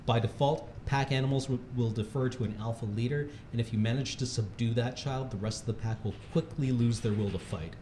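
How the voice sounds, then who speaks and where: A man's voice: medium pitch, a little fast, few pauses, warm tone. Man reading comedy aloud, echoey room. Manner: mock-serious instructional delivery; often breaking into laughter. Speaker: reader at a charity livestream. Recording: echoey room with faint background noise.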